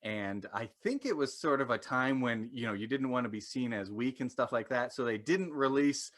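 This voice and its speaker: A man's voice: medium pitch, normal speed, a bit bright. Male panelist, middle register, informal conversational delivery. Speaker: podcast panelist. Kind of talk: casual chat.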